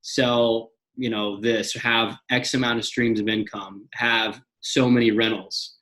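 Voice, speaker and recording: Fast-restarting young man. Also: mid-range voice, poor audio. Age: young adult. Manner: energetic, repeats words and restarts. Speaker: podcast guest. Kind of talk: casual interview chat.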